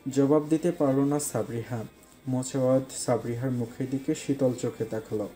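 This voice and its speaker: Mellow male narrator of a love story. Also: medium pitch, fairly even intonation, moderate pace, faint music behind, poor audio. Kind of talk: scripted romantic story narration.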